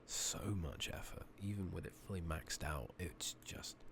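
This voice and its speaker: A British man giving soft-spoken, partly whispered ASMR commentary on a video game, muttering casually to himself on a close microphone. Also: low-pitched, animated, gravelly. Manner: casual, unhurried, muttering to himself, mild swearing. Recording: noisy mic with keyboard clicks.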